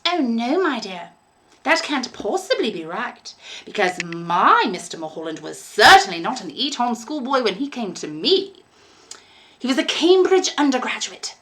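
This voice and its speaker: A woman's voice: on the high side, big pitch swings, moderate pace, fairly bright tone, voice pushed up. A woman reading a storybook aloud, voicing a dainty older landlady who is sweet, fussy and eerily doting, with a gushing, genteel, cooing manner. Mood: cosy, insistent.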